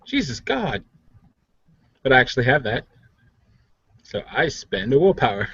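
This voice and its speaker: Male tabletop player, lively intonation, wry casual banter, dry and slightly muffled voice-chat sound. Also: mid-range voice, a little slow, slightly rough, no echo. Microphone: online voice chat.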